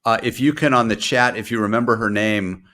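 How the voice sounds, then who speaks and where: A man hosting an interview show in a relaxed, rambling way, openly bad at remembering names. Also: on the low side, brisk. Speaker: podcast host. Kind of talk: casual chat.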